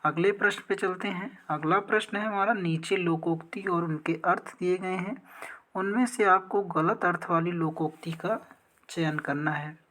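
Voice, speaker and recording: A woman's voice: fairly low pitch, fairly even intonation, normal speed, a bit bright, slight background noise. A woman teacher explaining practice exam questions step by step, often asking a question and then answering it herself. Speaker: teacher, exam coaching instructor.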